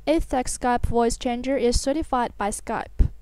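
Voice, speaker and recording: A woman reading a scripted software tutorial in an instructional, step-by-step manner. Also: fairly high pitch, measured pace, slight background noise.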